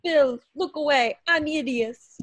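A woman's voice: sits high, takes it slowly, no echo. A woman playing an affectionate young witch bride in a table read over a video call. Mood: affectionate, dramatic.

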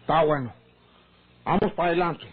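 A male actor plays a distrustful, bossy countryman in a radio drama. His voice sits fairly high and challenges gruffly. The old recording is thin and band-limited, with some hiss. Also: moderate pace, phone-quality sound, natural voice. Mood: commanding. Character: suspicious, domineering rural man hiring hitmen. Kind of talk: Mexican rural radio drama acting.

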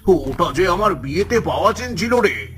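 A man's voice: sits high, big pitch swings, normal speed, a bit bright, soft background music. A man voicing a flustered, indignant stout man in a cartoon, agitated and repeating his words. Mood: angry, anxious.

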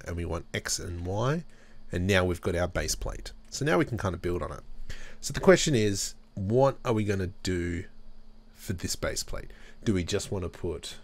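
A man with a very low voice hosts a software tutorial. He talks his way through each step in a relaxed way, thinking aloud as he works at his desk microphone. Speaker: tutorial host. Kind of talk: screen-recorded software tutorial.

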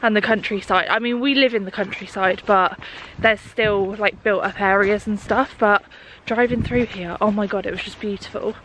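Vlogger, 20s woman, husky voice, rambling casual chat, faint hiss behind. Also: mid-range voice, steady tone, normal speed, a bit bright, low-quality recording. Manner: chatty, rambling, fillers like "like". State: unwell, voice going. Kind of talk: casual vlog chat to camera. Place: bedroom indoors, then outdoors in countryside. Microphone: handheld camera at arm's length.

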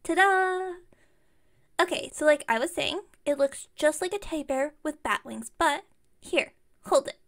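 Woman acting a high, creaky, bubbly witch shopkeeper. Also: expressive, moderate pace, a bit bright. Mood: excited, delighted. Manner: chatty, rambling, enthusiastic. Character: bubbly, scatterbrained young witch shopkeeper, eager and friendly. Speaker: voice actor in audio roleplay. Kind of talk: ASMR roleplay, one-sided conversation with listener.

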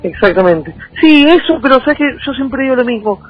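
A young man with a high voice and a Rioplatense Argentine accent speaks as an interview guest over a thin phone-like line. He halts, repeats and rephrases as he builds his points. Music plays faintly behind him. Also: sing-song, husky. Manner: halting, repetitive, circling back to rephrase. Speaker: interview guest, local political candidate. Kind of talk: conversational political interview.

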